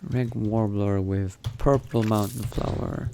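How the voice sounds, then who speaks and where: A man doing in-character game commentary, thinking aloud in a musing, unhurried murmur to himself. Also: on the low side, steady tone, vocal fry, noisy background. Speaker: gamer narrating a roleplay playthrough.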